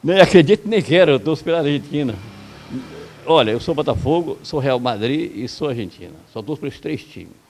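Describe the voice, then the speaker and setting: A man's voice: a little high, lively intonation, darkish tone, a little hiss or hum. A man from Brazil, a football coach, giving blunt, heated opinions in an interview. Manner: blunt, heated, rapid-fire complaints.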